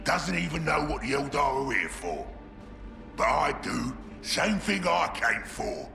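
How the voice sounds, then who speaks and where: An actor playing a scheming, brutish ork warlord who bellows in a gravelly voice, in a game cutscene. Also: male voice, medium pitch, very animated, unhurried, exaggerated voice. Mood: bellowing. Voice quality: gravelly, shouting. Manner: bellowing, sly and scornful. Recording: roomy game cutscene audio with music.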